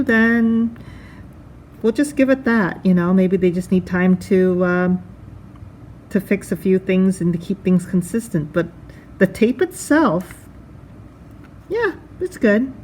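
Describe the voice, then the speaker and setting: A woman's voice: mid-range voice, darkish tone, a little hiss or hum. A woman giving a casual hands-on product review, thinking aloud in a conversational way.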